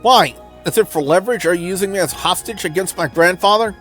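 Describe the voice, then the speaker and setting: A man's voice: sits high, fast talker, fairly bright tone, soft background music, pitched above the performer's own voice. A man playing an anxious, helpless young male captive in a visual novel, pleading and questioning. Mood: desperate.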